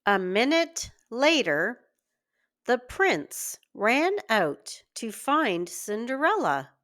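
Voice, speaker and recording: A woman reading a scripted story aloud for young children in a deliberate, expressive way. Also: on the high side. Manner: slow, deliberate, expressive delivery. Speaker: children's story reader and teacher.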